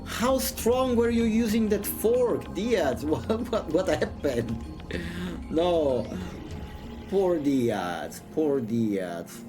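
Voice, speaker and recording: Animated, joking man streamer over music. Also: fairly high pitch, very slow. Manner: joking, playful, exclamatory. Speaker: vtuber streamer. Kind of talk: casual livestream chat while reading a visual novel.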